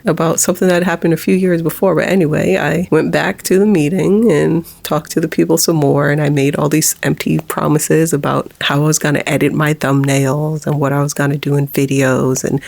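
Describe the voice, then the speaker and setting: Low, gravelly woman holding back tears. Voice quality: low, monotone voice. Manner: halting, with nervous laughs. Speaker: vlogger. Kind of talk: personal confessional vlog.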